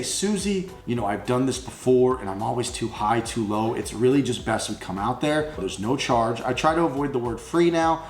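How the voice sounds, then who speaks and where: A man giving an informal sales coaching talk, blunt and emphatic, slipping into sample phone pitches. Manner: blunt, emphatic, salesman-like, addresses listeners as guys. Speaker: instructor teaching phone sales for junk removal. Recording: roomy echo with music underneath.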